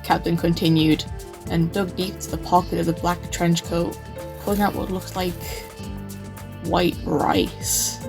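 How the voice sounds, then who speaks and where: Woman playing tired reluctant sidekick telling a bleak wasteland story, gravelly lowish voice, music under it. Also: fairly even intonation, measured pace. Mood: weary, uneasy. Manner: tired, awkward, sighing understatement. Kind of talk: first-person scripted narration, comic dub. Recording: background music behind the voice.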